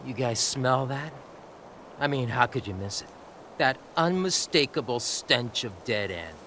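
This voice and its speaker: An actor playing a menacing, smug biker villain, taunting theatrically in a gravelly voice for a video game. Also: male voice, mid-range voice, lively intonation, unhurried, pushed and bright, a little hiss or hum. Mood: smug, threatening. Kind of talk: video game cutscene acting.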